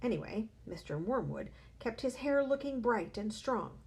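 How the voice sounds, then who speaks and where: A woman, a teacher, reading a children's novel aloud with expressive storytelling. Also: a little high, normal speed.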